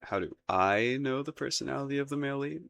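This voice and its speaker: A man's voice: medium pitch, lively intonation, fast talker, gravelly, fairly bright tone. A man acting a shy, lovestruck young crown prince in a romantic roleplay audio, hesitant and stammering, with formal courtly phrasing. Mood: curious, embarrassed.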